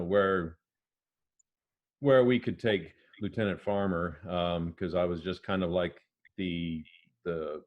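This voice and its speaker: A man with a very low voice talks at a markedly slow pace, pausing often as he thinks. He chats casually as a voice actor on a panel, and the lo-fi stream audio sounds dull. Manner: halting, thoughtful, many pauses. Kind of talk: casual reflective chat.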